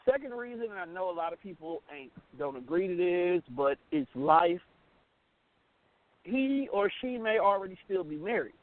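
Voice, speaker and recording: American man on a phone-like line, higher-pitched. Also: lively intonation, normal speed, no echo. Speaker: radio talk show co-host. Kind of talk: casual opinionated relationship talk. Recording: narrow phone-like line, dry.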